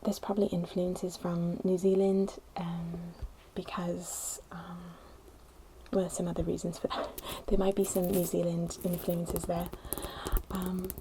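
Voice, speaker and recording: A woman's voice: lowish voice, level tone, measured pace, background noise. Whispering woman in a posh British accent. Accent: put-on posh British English. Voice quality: whispered, hushed. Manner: gentle, rambling, apologetic. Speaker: ASMR creator. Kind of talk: soft-spoken ASMR chat. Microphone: close sensitive ASMR microphone.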